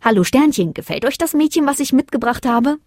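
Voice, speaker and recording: A woman's voice: fairly high pitch, lively intonation, unhurried, darkish tone, dry recording, studio quality, pitched above the performer's own voice. A woman voicing the kindly Moon, an encouraging magical guide in a children's storybook. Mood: cheerful. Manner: encouraging, instructing.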